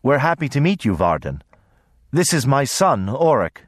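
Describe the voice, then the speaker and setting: A man voicing a courteous, gentle mother in an audiobook, polite and composed in manner. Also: medium pitch, sing-song, moderate pace, dry recording, studio quality, pitched above the performer's own voice. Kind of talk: audiobook character voice.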